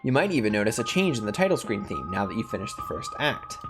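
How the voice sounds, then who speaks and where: A man's voice: fairly low pitch, sing-song, quick pace, over music. A man who hosts gaming videos, reading story text aloud and commenting casually.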